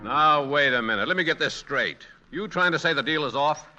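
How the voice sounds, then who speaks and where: An actor playing a tough, slangy con man with a gruff wise-guy manner in a vintage radio comedy. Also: a little high, animated, a little fast, bright, forceful tone, soft background music. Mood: gruff, threatening. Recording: dull vintage radio recording.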